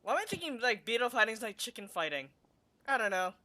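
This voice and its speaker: A woman's voice: on the high side, very animated, fast talker, sharp tone, a little hiss or hum. A woman game streamer giving casual gameplay commentary, with wry, teasing asides.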